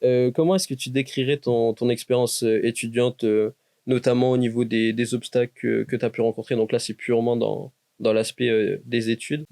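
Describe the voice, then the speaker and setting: A man's voice: mid-range voice, flat delivery, normal speed, creaky, warm tone, no room sound. A man interviewing a student guest for a university podcast, asking casual questions with frequent hesitations.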